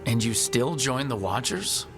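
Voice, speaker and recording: A man's voice: mid-range voice, lively intonation, unhurried, over music. An actor playing a plain-spoken male adventurer in a fantasy video game, talking in a casual, wry way. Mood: earnest.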